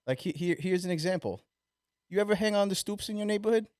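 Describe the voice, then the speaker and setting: A man, co-hosting a casual podcast in an easygoing way and chiming in with quick agreement. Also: high voice, lively intonation, a little mellow, clean tone, dry recording, studio quality. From Queens, New York. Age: 30s. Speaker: podcast co-host. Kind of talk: casual chat.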